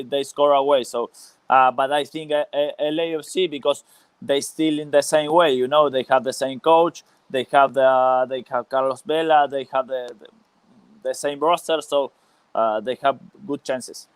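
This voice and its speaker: Guy being interviewed, smooth clear voice, halting, repeats stuff, dry sound. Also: mid-range voice, fairly even intonation, dry recording. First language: Spanish. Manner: halting, searching for words, repeats phrases. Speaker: former professional footballer, interview guest. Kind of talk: casual live interview.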